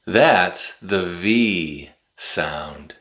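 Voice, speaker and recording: A man in his forties giving an English pronunciation lesson to camera, enunciating deliberately and carefully like a teacher. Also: deep voice, expressive, a bit bright. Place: small indoor room with bookshelf.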